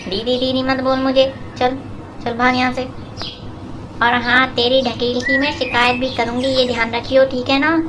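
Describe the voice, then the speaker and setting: A woman's voice: high-pitched, little pitch movement, normal speed, close to an everyday voice. A woman playing a haughty, scheming young woman who talks with scolding contempt in a moral story. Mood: accusing, bossy. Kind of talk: acted moral story for cartoon. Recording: clear background noise with birdsong.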